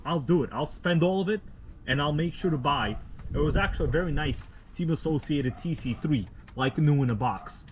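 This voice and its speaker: A man from Russia, a native Russian speaker, hosting a casual live stream outdoors at night, rambling in a relaxed, slangy way with many fillers. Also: fairly high pitch, normal speed, a little hiss or hum, rough recording. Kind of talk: casual live stream chat, reading viewer comments.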